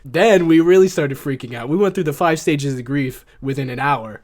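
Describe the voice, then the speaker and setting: A man's voice: a little high, normal speed. A man co-hosting a sports podcast, talking casually and making his case conversationally.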